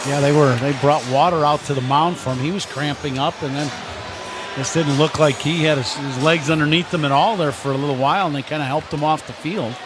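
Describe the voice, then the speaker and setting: A man's voice: a little high, fairly even intonation, fairly quick. An American man giving conversational, explanatory color commentary on a live baseball radio broadcast. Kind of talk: live radio game analysis. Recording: crowd ambience noise behind broadcast. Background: ballpark ambience noise.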